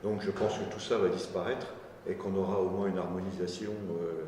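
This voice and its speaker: Dark, low male voice in a reverberant hall. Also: steady tone, moderate pace. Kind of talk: interview testimony, spontaneous. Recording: echoey hall, distant, faint noise.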